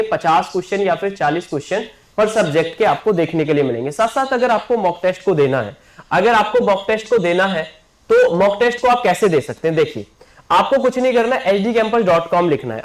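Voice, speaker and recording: A man, a coaching teacher announcing an exam batch to students, repeating himself and often checking in with them. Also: high voice. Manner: repetitive, keeps checking in with listeners. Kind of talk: promotional class announcement.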